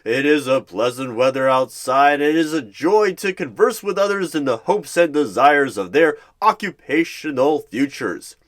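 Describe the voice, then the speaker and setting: A man's voice: on the high side, normal speed, few pauses, fairly bright tone, natural voice. A man playing an eager, awkward recruiter in a roleplay job interview, with a stilted, theatrical manner. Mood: polite, upbeat. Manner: theatrical, announcer-like bursts, stilted third-person self-narration. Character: eager, awkward male recruiter narrating himself in third person.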